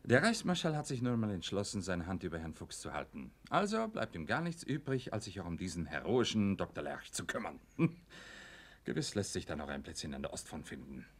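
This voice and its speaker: An authoritative, cynical officer in a wartime radio play. His voice is very deep and rough-edged, with dramatic swoops in pitch. He sounds suave and sardonic, always in command. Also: very animated, brisk, full character voice. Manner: smooth-talking, sardonic, in command. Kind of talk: German radio play acting.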